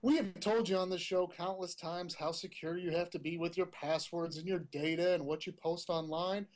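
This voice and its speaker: A man with a notably high voice co-hosts a tech news podcast. He delivers stories in a punchy, opinionated way and likes to put rhetorical questions to his listeners. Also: steady tone, moderate pace. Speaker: podcast co-host. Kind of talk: casual tech news chat.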